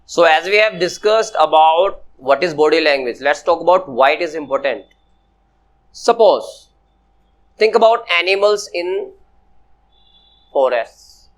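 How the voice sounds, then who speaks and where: 一位语速缓慢的男老师，讲课时反复问“明白吗”. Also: male voice, fairly high pitch, animated. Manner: slow, repetitive, often asks "Clear?". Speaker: personality development teacher. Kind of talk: classroom lecture to students.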